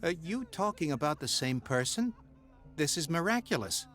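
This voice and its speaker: A man's voice: fairly high pitch, very animated, normal speed, fairly bright tone, faint music behind. An actor playing a polite, earnest man, the devoted son of a hospital patient, in a video game. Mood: relieved. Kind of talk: video game cutscene acting.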